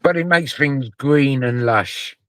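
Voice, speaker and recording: Man from the UK, live stream host, relaxed chatty talk, middle pitch. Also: takes it slowly. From United Kingdom. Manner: laid-back, chatty, laughs often. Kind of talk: casual live stream chat. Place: outdoors or in a car.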